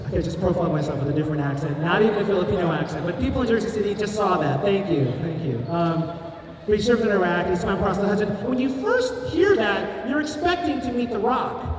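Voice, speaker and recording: A man's voice: high voice, recorded from a distance. A man doing a chatty stand-up roast set on a comedy stage, with self-deprecating asides. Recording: roomy hall miked from afar.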